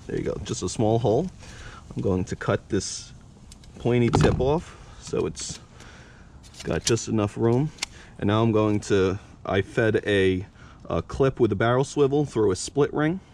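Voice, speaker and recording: A man's voice: fairly low pitch, unhurried, a little hiss or hum. A young man hosting a fishing channel, casually walking through a hands-on demonstration with frequent "you know" and "uh". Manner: relaxed, fillers like "you know" and "uh". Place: outdoors at a workbench.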